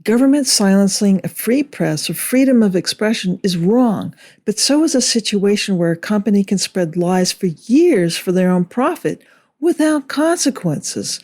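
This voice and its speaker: A woman delivering scripted political commentary in a measured, deliberate way with emphatic phrasing. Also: mid-range voice, expressive, a little mellow. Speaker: video commentator.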